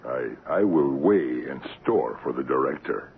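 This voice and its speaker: Very deep-voiced, shrewd trading post assistant. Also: male voice, animated, gravelly, heavily acted. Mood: cool, unrepentant. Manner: measured, terse, clipped simple grammar. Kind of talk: old-time radio drama acting. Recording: muffled, noisy vintage radio broadcast.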